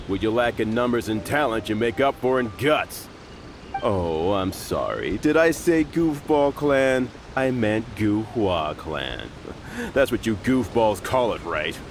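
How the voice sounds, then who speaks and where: A man voicing a cocky, bullying henchman in a video game, sneering and taunting with mocking emphasis. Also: mid-range voice.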